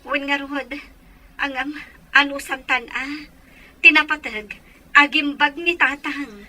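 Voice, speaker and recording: A woman's voice: high-pitched, fairly even intonation, unhurried, sharp tone, full character voice, rough recording. A woman playing a worried elder sister in an audio drama, pleading and apologetic as she talks. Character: worried, pressed elder sister short of money.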